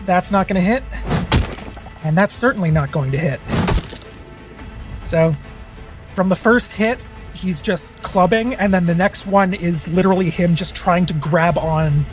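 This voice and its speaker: A mid-pitched player explains a turn casually and quickly. Faint music plays behind. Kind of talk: tabletop game play.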